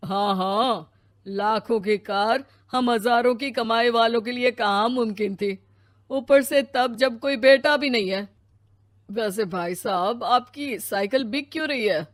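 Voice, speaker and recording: A woman's voice: fairly high pitch, measured pace, dry recording, studio quality, lightly acted. A woman voicing a middle-aged pensioner father in a cartoon story, plain-spoken and homely, content but a little wistful. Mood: modest.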